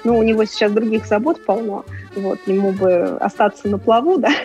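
A woman's voice: medium pitch, fairly even intonation, brisk, dark tone, lo-fi sound, soft background music. A middle-aged woman from Russia with a Russian accent, chatting as an interview guest and tour guide in a casual, talkative way.

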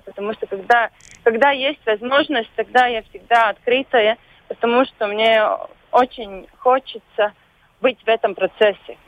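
A woman with a Latvian accent, whose first language is Latvian, speaks as a guest on a radio show by phone. She talks hesitantly and often pauses to search for words. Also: on the high side, no echo. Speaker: volunteer guest. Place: phone call into a radio studio. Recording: dull lo-fi phone line.

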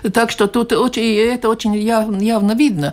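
A woman's voice: a little high, steady tone, brisk, darkish tone. Female political analyst from Latvia on a radio panel, conversational, interjects with brief agreements. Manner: conversational, frequent short agreements. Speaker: political scientist guest.

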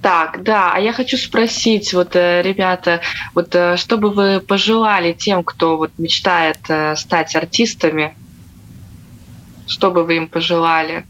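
Muffled lowish-voiced woman asking hesitantly. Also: steady tone, a little slow, a bit bright. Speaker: panel participant asking a question. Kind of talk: casual spoken question. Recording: dull, muffled sound.

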